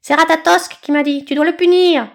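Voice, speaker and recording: A woman voicing an indignant male baby eaglet in a storybook, shrill and squawking. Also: fairly even intonation, moderate pace, creaky. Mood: accusing.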